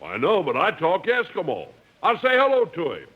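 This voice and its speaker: A man's voice: sits high, huge pitch swings, moderate pace, husky, exaggerated voice. A man playing a cocksure, grumbling prospector sidekick in a 1950s radio comedy, broad and complaining. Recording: muffled old radio recording with hiss.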